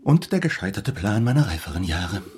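A man's voice: fairly low pitch, sing-song, brisk, darkish tone, breathy edge, full character voice. An actor playing a pompous, penniless middle-aged family man in a German radio drama, speaking with florid, theatrical dignity. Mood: grand dignity.

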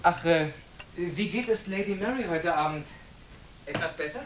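An actor playing an earnest, deferential junior scientist in a radio play, polite and precise. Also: male voice, high-pitched, normal speed, a bit bright, a little hiss or hum. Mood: polite, concerned.

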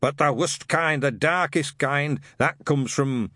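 A man voicing a gruff, paranoid old witchfinder sergeant, barking and conspiratorial. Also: on the high side, slow, a bit bright, no room sound, studio quality, pitched above the performer's own voice. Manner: barking, conspiratorial, bigoted grumbling. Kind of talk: audiobook character voice.

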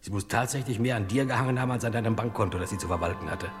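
Actor as lowish-voiced sardonic sidekick. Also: male voice, racing, lightly acted. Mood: mock solemn. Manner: wry, ironic, theatrical. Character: sardonic sidekick, frank and mock-respectful toward his boss. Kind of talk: old-style German crime radio play acting. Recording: music behind the voice.

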